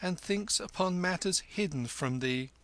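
A man voicing a quick-witted, cheeky street boy who answers promptly and boldly. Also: sits high, animated, fairly bright tone, pitched above the performer's own voice.

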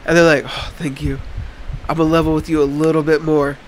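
A man's voice: a little high, level tone, brisk, slight background noise. A man, congested, telling a laid-back story on a casual podcast. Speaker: podcast co-host. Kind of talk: casual chat.

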